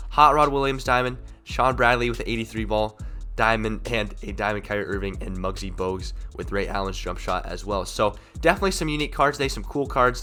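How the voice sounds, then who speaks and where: A young man hosting a gaming channel, talking through in-game market updates in a rapid, rambling, upbeat way while sick, so his voice sounds off. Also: lowish voice, sharp tone. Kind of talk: casual gaming market commentary.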